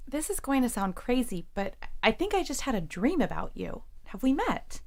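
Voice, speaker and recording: A woman's voice: on the high side, big pitch swings, normal speed. A woman playing a naive, earnest seventeen-year-old university freshman in an audio drama, casual and girlish. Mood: puzzled, defensive.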